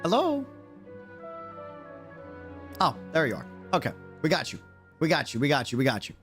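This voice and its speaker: A man's voice: a little high, huge pitch swings, normal speed, a bit bright, soft background music. A man talking casually and jokily in slang as he livestreams a video game. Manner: slangy, informal, jokey. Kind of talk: casual livestream chat and reactions.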